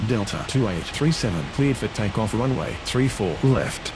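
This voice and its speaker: A man's voice: lowish voice, animated, normal speed, over music, natural voice. A man voicing a formal airport radio operator in an aviation game, with clipped, scripted call-outs. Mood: formal, brisk. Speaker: air traffic radio voices in a game. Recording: very noisy, roaring engine-like background.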